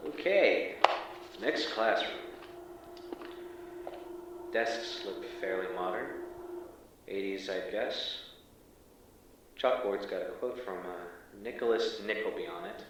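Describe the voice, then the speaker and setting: An actor playing a wry, uneasy field agent who reports in a casual, halting, deadpan way in an audio drama. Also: male voice, deep voice. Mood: casual, puzzled. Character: wry, uneasy male field agent exploring an abandoned school. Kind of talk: audio drama acting, exploration log. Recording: echoey, distant, faintly noisy field audio.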